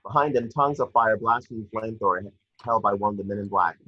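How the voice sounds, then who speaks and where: A man's voice: fairly low pitch, fast, slightly rough, dull audio. A man narrating action descriptions at a script read-through, matter-of-fact and sometimes stumbling over words.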